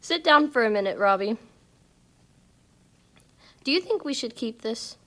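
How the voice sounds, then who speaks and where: Woman playing a high-voiced, patient puppet mother. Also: animated, unhurried, a bit bright. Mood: patient, instructive. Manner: patient, instructive. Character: gentle, principled mother guiding her young son. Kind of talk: church puppet show dialogue.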